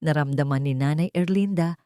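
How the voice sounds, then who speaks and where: A woman's voice: low voice, brisk, dark tone, clean tone, dry recording, studio quality. A woman narrating a sentimental scripted story as a storyteller, in a dramatic reading style.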